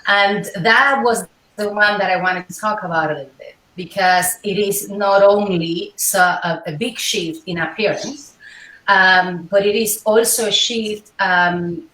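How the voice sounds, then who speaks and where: A woman's voice: mid-range voice, measured pace, fairly bright tone. A Cuban-born woman in her forties, a scientist and healer, speaking thoughtfully in an interview with many hesitations. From Cuba. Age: 40s. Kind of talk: interview conversation. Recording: echoey room, far from mic.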